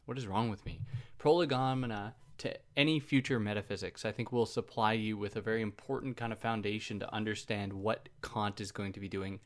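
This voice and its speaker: A man's voice: on the low side, steady tone, a little fast. A man hosting a philosophy podcast, explaining ideas in a casual, chatty way, joking at himself and wandering off on side points. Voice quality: soothing voice. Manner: chatty, self-deprecating, goes off on tangents.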